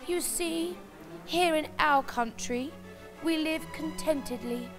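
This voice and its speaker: A woman's voice: high-pitched, pitched above the performer's own voice. A woman voicing a dainty young china princess from a storybook, prim and genteel.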